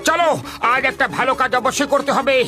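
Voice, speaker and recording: A man's voice: very high voice, fast, over music. A man voicing an eager, hot-headed, bumbling do-gooder hero in a cartoon dub, exclamatory and insistent. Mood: indignant, determined. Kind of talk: animated cartoon dub acting.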